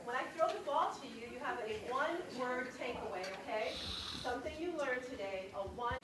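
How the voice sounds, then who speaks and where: A woman's voice: high voice, animated, brisk. A woman leading a workshop, speaking emphatically to a group, stretching key words and checking in with her listeners. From Brooklyn, New York, United States. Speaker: diversity workshop trainer. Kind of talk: spontaneous workshop talk to a group. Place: indoor meeting room with a group. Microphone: distant room microphone. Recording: roomy, distant microphone, background noise.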